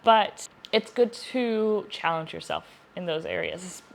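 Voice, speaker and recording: A woman's voice: mid-range voice, slow pace, a little hiss or hum. A woman hosting a casual, chatty book review to camera, speaking earnestly and repeating words for emphasis. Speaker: book reviewer, video host.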